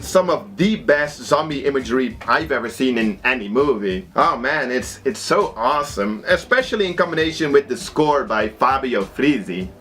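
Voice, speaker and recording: Male video reviewer, Dutch accent, slightly higher-pitched voice with lively swings, chatty and wry. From Netherlands. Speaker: video host reviewing horror films. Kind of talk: casual review commentary.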